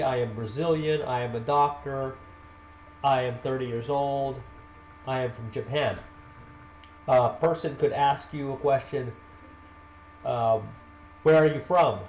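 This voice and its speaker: A man gives a slow, carefully paced English lesson, repeating simple example sentences and correcting himself. His voice sounds roomy and echoey. Faint ambience hums behind him. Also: medium pitch, darkish tone, a little hiss or hum. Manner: deliberate, repeats drill sentences, self-corrects. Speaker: English teacher. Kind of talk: slow spoken grammar lesson.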